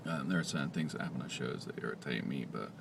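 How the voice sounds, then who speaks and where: Very deep, gravelly soft-spoken man. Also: fast, noisy background. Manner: quiet, soft-spoken, hesitant with many fillers. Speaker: independent comic writer. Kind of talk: casual vlog monologue.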